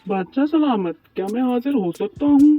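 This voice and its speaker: A man's voice: very high-pitched, animated, normal speed. A man playing a poor, worried, humble bread baker in an Urdu moral cartoon story, pleading and deferential. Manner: pleading, deferential, talks to himself. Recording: muffled lo-fi track with music behind.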